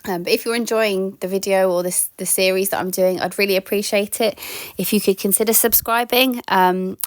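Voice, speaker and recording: A woman in her thirties, slightly croaky from a sore throat, chatting casually in a voice-over about tidying her home, with lots of ums and yeahs. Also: mid-range voice, dry recording.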